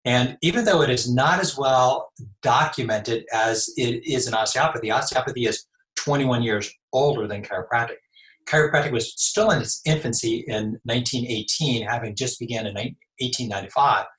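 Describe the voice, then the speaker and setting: A man in his sixties with a slightly raspy voice, a chiropractor giving measured explanatory answers in an interview. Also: mid-range voice, a bit bright. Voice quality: a little raspy. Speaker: chiropractor guest. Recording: echoey room.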